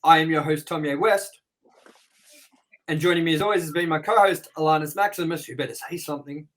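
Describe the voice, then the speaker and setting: A man hosting a review show, rambling and excitable as he wraps up the episode with plugs. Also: fairly high pitch, fast, poor audio. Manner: rambling and excitable, with sound-effect noises. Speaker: host. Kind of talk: casual episode wrap-up with plugs.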